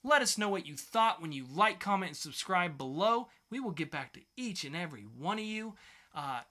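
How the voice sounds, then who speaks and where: A man's voice: high-pitched, lively intonation, normal speed, sharp tone. A man co-hosting a podcast with playful banter and mock game-show announcing. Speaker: podcast co-host.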